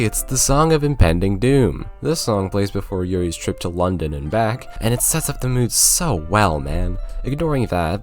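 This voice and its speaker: A young man narrating a casual video review in an informal, conversational, slangy way. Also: low voice. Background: music.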